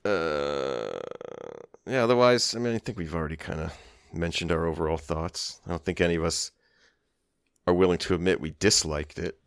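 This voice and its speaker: A man's voice: low-pitched, normal speed, clean tone, dry recording, clean studio sound. A man co-hosting a casual podcast about a TV show. He rambles, restarts and laughs as he talks. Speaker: podcast co-host. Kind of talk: casual chat.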